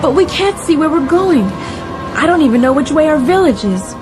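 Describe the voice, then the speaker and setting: Girl sidekick for children's read-along: high, girlish, loyal, practical, a bit doubtful. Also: expressive, a little fast, loud music behind the voice. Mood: weary, worried.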